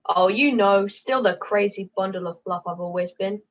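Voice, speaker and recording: A woman's voice: medium pitch, moderate pace, slight background noise, natural voice. A young woman voicing a put-upon, droll fluffy puppet in an amateur play over a video call. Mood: droll grumbling. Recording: muffled video call audio.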